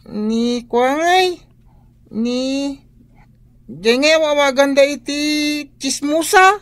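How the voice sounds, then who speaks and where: A woman's voice: high-pitched, slow pace, no echo. A woman playing a whiny, hesitant young child who tattles, in a radio drama. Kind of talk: Ilocano radio drama acting.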